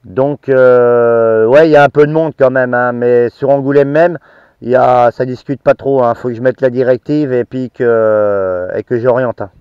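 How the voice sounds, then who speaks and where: Smooth-voiced man, choppy casual radio chat. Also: mid-range voice, fairly even intonation, normal speed, dry recording. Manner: hesitant, choppy short phrases with tags. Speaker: amateur radio operator and vlogger. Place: inside a parked car. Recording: dull, muffled, dry sound.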